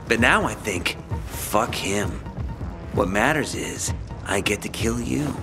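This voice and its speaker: A cocky young male gang thug from a video game, sneering and taunting with vengeful menace. His pitch leaps around a lot, and loud music plays behind him. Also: lively intonation, takes it slowly. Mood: mocking, vengeful. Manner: taunting, mocking, foul-mouthed. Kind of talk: dramatic video game acting.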